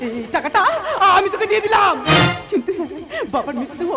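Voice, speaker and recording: A woman's voice: very high-pitched, expressive, brisk. A woman playing a proud, dutiful younger sister who reproaches her brother, declaiming emphatically in folk stage melodrama. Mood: wounded defiance. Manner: emphatic, declamatory, repeating lines. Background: loud music.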